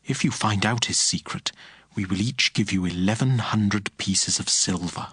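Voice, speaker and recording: A plotting foreign ruler, voiced by a man in a scripture drama, speaking in a very deep, husky voice. The sound is dry and close. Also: normal speed, a bit bright, dry recording. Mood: conspiratorial.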